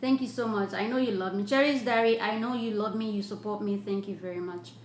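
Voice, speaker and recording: A woman's voice: mid-range voice, fairly even intonation, brisk. A woman hosting a live stream, reading viewer comments aloud and talking earnestly about charity and loving people. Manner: warm, effusive, blesses viewers at length; earnest, repetitive preaching tone. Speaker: livestream host. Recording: echoey room with faint ambient noise.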